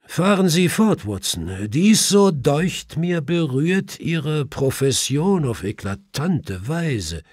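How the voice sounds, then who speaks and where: A man voicing a grave, inquisitive Victorian gentleman detective in an audiobook, speaking formally and with emphasis. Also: fairly high pitch, big pitch swings, slow, hardly any pauses, breathy edge, no room sound, clean studio sound, pitched above the performer's own voice, full character voice. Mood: keen, commanding. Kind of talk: audiobook character voice.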